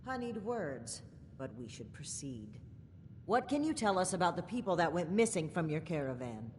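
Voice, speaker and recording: A dogged military investigator in a video game. Her voice is low, her manner formal, righteous and accusing. It rings with long reverb. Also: sing-song, normal speed, darkish tone, a little hiss or hum. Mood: resolute. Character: stern, righteous female soldier investigator, dogged and suspicious. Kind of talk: video game character dialogue.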